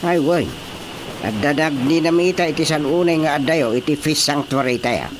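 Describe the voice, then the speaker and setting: A woman's voice: deep voice, moderate pace, noisy background, close to an everyday voice. A woman playing a fretful fisherman who stammers and exclaims in a radio drama. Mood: uneasy.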